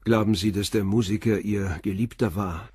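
An actor playing a calm police inspector in a radio play, asking short, persistent questions. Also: male voice, on the low side, normal speed, husky, no echo, clean studio sound. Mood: probing.

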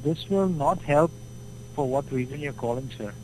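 A man's voice: on the high side, moderate pace, dark tone, slight background noise, low-quality recording. A man working as a tech support agent who answers in a formal, polite way and keeps asking for an account number. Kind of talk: customer service call.

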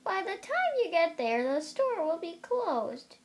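A child reader puts on a rude, flashy storybook hare. He is high and lively, taunting and full of boasts, with slow delivery. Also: exaggerated voice.

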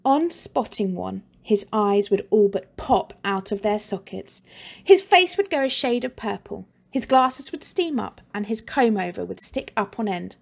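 A woman teacher reading a children's story aloud in a slow, expressive and playful way. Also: medium pitch, vocal fry.

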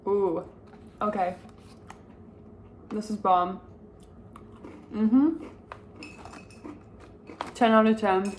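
A woman's voice: mid-range voice, drawn-out words, long pauses, darkish tone, a little hiss or hum. Chatty young woman vlogging from her kitchen. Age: young adult. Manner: upbeat and chatty, gushing about food. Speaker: lifestyle vlogger. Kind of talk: casual chat to camera. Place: home kitchen, indoors.

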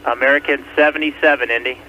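A man's voice: medium pitch, fairly even intonation, fast talker. A man working as an air traffic controller, speaking in clipped radio phraseology over an aviation radio. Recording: muffled, noisy air traffic radio recording.

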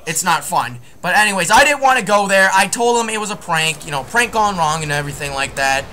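A man's voice: sits high, lively intonation, a little fast, pushed and bright, a little hiss or hum. A young man telling a story casually and crudely, as host of a gaming video. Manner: crude, joking. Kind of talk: casual storytelling over gameplay.

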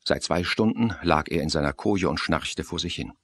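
Deep-voiced male thriller narrator. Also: normal speed, a bit bright, no echo. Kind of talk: scripted dramatic thriller narration in a German audio drama.

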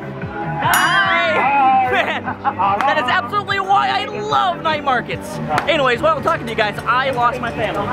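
A young man filming himself at a busy outdoor night market on a handheld camera, talking excitedly and upbeat about the food. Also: very high voice, poor audio. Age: young adult. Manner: excited, upbeat, mixes in simple Mandarin. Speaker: vlogger. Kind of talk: enthusiastic food vlog. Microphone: camera held at arm's length. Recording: loud music and crowd noise behind. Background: loud crowd chatter and music.

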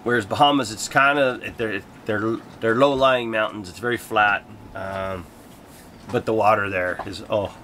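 Low, bright-edged Canadian man. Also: fairly even intonation, moderate pace, a little hiss or hum. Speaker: co-host of a sailing vlog. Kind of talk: casual chat, answering viewer questions.

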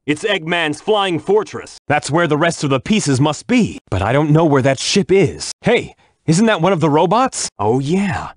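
An actor playing a tough, hot-headed young echidna guardian in a video game, earnest, blunt and declamatory. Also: male voice, medium pitch, no echo, clean studio sound. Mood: excited.

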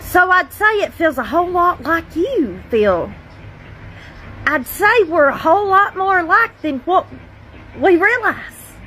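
A woman's voice: extremely high pitch, animated, measured pace, sharp tone, low-quality recording. A woman talking informally in a folksy, plain-spoken way, with a personal tone. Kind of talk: informal personal talk to camera. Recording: faint background noise.

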